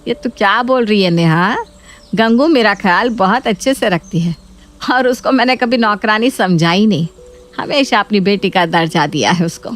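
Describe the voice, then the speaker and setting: A woman's voice: medium pitch, moderate pace, soft background music, natural voice. A woman playing a stern, bossy middle-aged mother-in-law in a spoken story. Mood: puzzled. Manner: scolding, bossy.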